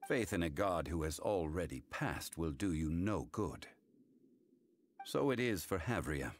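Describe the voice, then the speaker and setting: An actor playing a calm, solemn ancient man, a wise former god in a video game, who speaks in a formal, measured, reflective way. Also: low-pitched, a little hiss or hum. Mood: calm, grave. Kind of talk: English game voice acting, story dialogue.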